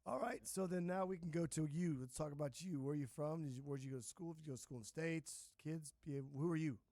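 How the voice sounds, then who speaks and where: A man's voice: a little high, fast, dark tone, dry recording. A man hosting a casual interview show, informal and often chiming in with short interjections.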